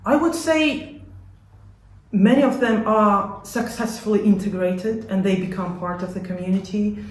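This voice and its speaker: A woman's voice: medium pitch, a little slow. Latvian-accented woman in an echoey room. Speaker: community support organisation worker being interviewed. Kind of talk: interview answers, explanatory.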